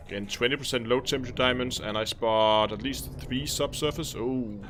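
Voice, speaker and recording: Danish male streamer, mid-pitched, low-key thinking-aloud commentary, faint hum behind. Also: steady tone, a little fast, a bit bright. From Denmark. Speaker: game streamer. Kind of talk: casual live-stream commentary while playing.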